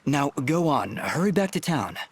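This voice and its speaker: A man's voice: fairly high pitch, normal speed, husky, full character voice. A man voicing an earnest, kind but wry young swordsman hero in a video game. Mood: reassuring. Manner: calm, wry, reassuring. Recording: faint game ambience behind the voice.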